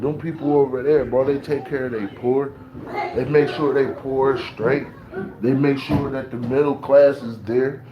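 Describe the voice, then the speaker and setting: A man's voice: mid-range voice, noisy background. A man from Ohio, ranting casually in loose, slangy talk and preaching his views. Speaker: beatmaker talking to camera. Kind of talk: casual rant.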